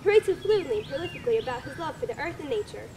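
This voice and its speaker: A woman's voice: sits high, big pitch swings, rapid-fire, darkish tone. A woman playing a skeptical male writer from long ago, teasing and formal in an amateur dramatic reading. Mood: wry. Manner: wry, teasing, formal old-fashioned speech. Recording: noisy amateur recording.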